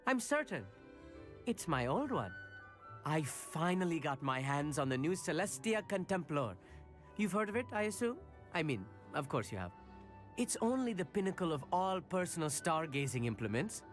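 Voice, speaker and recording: Animated, eager schoolboy. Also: male voice, on the high side, moderate pace, faint music behind. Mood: eager, proud. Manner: chatty, enthusiastic, slightly boastful. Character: eager, friendly schoolboy astronomy buff. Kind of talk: video game cutscene acting.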